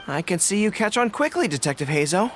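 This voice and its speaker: An earnest young general. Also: male voice, high voice, big pitch swings, quick pace, fairly bright tone, soft background music. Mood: earnest, formal. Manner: formal, courteous and earnest.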